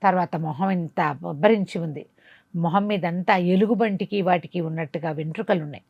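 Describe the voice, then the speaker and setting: A woman telling a folk moral tale in a conversational, dramatic way, pausing for asides and rhetorical questions. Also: lowish voice, gravelly, no echo, lo-fi sound. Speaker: storyteller. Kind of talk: animated oral storytelling of a folk moral tale.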